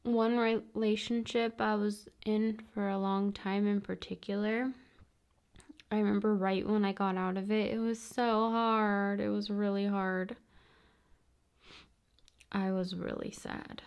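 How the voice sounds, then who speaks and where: A woman speaking softly and often in a whisper, in an intimate, soothing ASMR style addressed to the viewer. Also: medium pitch, little pitch movement, unhurried, clean tone, dull audio. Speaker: ASMR video host.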